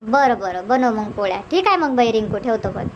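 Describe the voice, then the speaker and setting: A woman's voice: on the high side, lively intonation, a little fast, lo-fi sound, a little hiss or hum, lightly acted. A woman voicing a practical village grandmother in a cartoon, coaxing and advising in a rustic Varhadi Marathi accent. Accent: Varhadi Marathi, Vidarbha. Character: village grandmother, practical advice-giving mother. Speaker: cartoon character voice. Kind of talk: homely cartoon comedy dialogue.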